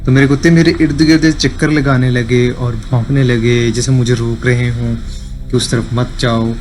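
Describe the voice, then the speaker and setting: A man from Punjab with a Pakistani accent, casually telling a first-person story in halting phrases as a radio listener. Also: medium pitch, flat delivery, a little mellow. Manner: halting phrases, switches into English. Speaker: listener sending an audio story. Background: background music.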